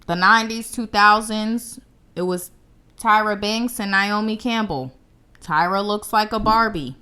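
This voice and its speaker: A woman's voice: mid-range voice, a bit bright. A young woman from the United States hosting her own show, giving blunt, confident commentary straight to camera. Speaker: show host.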